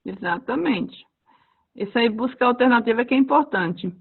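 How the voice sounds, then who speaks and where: A woman with a high, bright voice speaks casually on a webinar about telling stories to children. She livens her talk by acting out quoted dialogue. The video call audio sounds dull and muffled. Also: animated, moderate pace, slightly rough. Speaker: heritage language storyteller.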